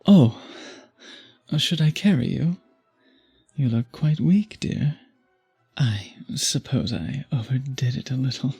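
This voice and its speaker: Male actor as seductive vampire, husky gravelly murmur, breathy, teasing, intimate ASMR roleplay. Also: medium pitch, slow pace, warm tone, faint music behind, full character voice. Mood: tender, suave. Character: charming, seductive male vampire, flirtatious and predatory.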